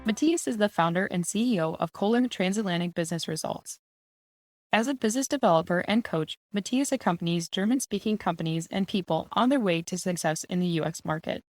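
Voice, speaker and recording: A woman hosting a business podcast, reading a polished introduction and asking interview questions in a brisk way. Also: on the low side, dry recording, soft background music. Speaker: podcast host.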